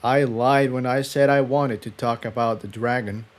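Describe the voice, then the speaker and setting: A man voicing an earnest, troubled male pony adventurer in a quiet, serious way for a storybook reading. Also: mid-range voice, fairly even intonation, normal speed, lightly acted. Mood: troubled confession.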